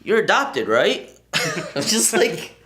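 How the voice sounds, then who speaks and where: Young man, co-host, bright, pitch jumping all over, joking around. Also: takes it slowly. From Michigan, USA. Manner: animated, jokey storytelling. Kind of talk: casual chat. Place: indoor studio set.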